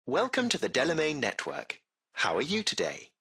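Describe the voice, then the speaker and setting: A man's voice: fairly high pitch, huge pitch swings, moderate pace, creaky, sharp tone, slightly rough, heavily acted. A man playing a courteous, formal AI taxi-service machine in a video game, with precise, butler-like phrasing. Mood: polite, welcoming. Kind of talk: scripted video game voice acting.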